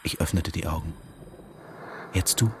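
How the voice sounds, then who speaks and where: A deep-voiced, detached man, narrating. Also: a little slow. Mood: calm, absorbed. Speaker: actor in a radio drama. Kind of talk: measured first-person radio drama narration. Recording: music and rumble behind voice. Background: music.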